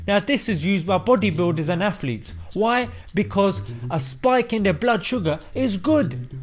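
A man's voice: high-pitched, expressive, moderate pace. A young British man presenting scripted comic commentary to camera in a slangy, exaggerated, mock-indignant manner. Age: young adult. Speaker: comedy presenter. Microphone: desk microphone on boom arm.

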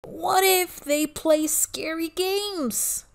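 A woman's voice: very high voice, fairly even intonation, drawn-out words, gravelly, pitched above the performer's own voice, exaggerated voice. A woman voicing a reluctant, anxious little boy full of questions in a children's storybook. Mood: worried. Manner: worried, questioning. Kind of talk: children's storybook read-aloud.

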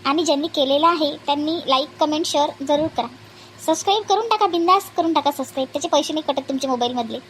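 A woman's voice: high voice, fast talker, a little hiss or hum, rough recording. A woman speaking as a channel host, making a casual appeal to viewers.

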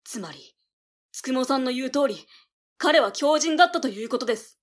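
Earnest, devoted boy for audio drama, voiced by a woman: high-pitched, polite, quick to accuse. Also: expressive. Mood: solemn. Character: earnest, hot-headed, devoted boy medium. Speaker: voice actor. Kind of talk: anime-style voice drama acting.

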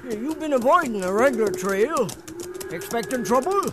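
Old-time radio sidekick: wry American old-timer, strikingly high voice, folksy drawl, noisy recording. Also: male voice, expressive, moderate pace. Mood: curious, wry. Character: nosy, wry old trail-hand sidekick. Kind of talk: 1950s western radio drama acting.

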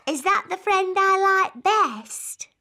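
A small cartoon princess, very high and slow in delivery. She is eager, bossy and childishly blunt, stressing what she wants. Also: animated, bright, forceful tone, exaggerated voice. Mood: earnest. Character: bossy, eager little princess girl, about four. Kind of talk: animated children's cartoon acting.

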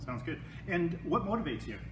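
A man's voice: fairly high pitch, big pitch swings, normal speed. An older man hosting a local business interview, asking short friendly questions and dropping brief affirming replies. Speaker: interview host. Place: large fitness studio with bare walls. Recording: echoey room with background hum.

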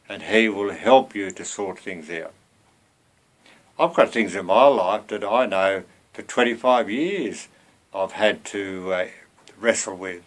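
Low-voiced elderly man preaching informally. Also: fairly bright tone. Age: 70s. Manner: earnest, conversational, with rhetorical tag questions. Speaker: lay preacher. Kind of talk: informal sermon to camera. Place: small cluttered room at home.

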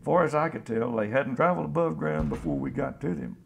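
Man acting a folksy gravelly disaster survivor. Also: fairly high pitch, brisk, noisy background. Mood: hopeful, earnest. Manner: folksy, plain-spoken. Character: folksy, hopeful scavenger, survivor of global disaster. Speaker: actor in a radio drama. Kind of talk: radio drama, interview monologue.

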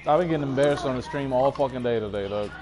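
A man's voice: medium pitch, very fast, poor audio. A man streaming a shooter game, trading profane, exasperated trash talk with friends. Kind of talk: gaming banter with friends.

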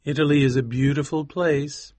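A man voicing a polite, cheerful gentleman in his twenties in a storybook reading, courteous and genial in manner. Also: fairly high pitch, fairly even intonation, normal speed, dry recording, pitched above the performer's own voice, natural voice.

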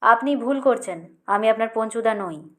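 A woman's voice: on the high side, lively intonation, normal speed, fairly bright tone. A woman voicing a harried, irritable middle-class man in a story, curt and annoyed. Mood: irritated.

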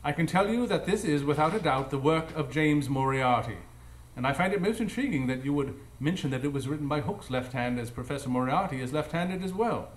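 A man's voice: on the high side, expressive, fairly quick, lightly acted. Man acting a formal, echoey master detective. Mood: assured. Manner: formal, precise, assured. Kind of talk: live radio drama acting. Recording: echoey live stage.

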